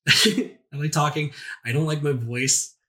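A man in a casual podcast chat as a guest, telling stories in an animated, jokey, self-deprecating way. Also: on the high side, takes it slowly.

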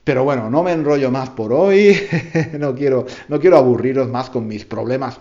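A man's voice: medium pitch, moderate pace, warm tone, muffled recording. A man hosting a podcast for language learners, telling a tall tale playfully and repeating phrases for emphasis. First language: Spanish. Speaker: podcast host. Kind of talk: storytelling to learners.

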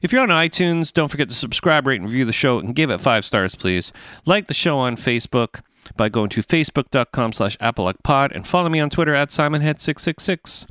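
A man's voice: mid-range voice, lively intonation, a little fast, fairly bright tone, clear, resonant tone, no room sound, clean studio sound. A middle-aged man from England hosting a podcast, chatting casually with many fillers. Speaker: podcast host.

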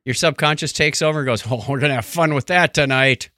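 A man's voice: fairly high pitch, fast, pushed and bright, dry recording, clean studio sound. A man co-hosting a podcast in casual banter, with a wry, joking, incredulous way of talking. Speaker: podcast co-host.

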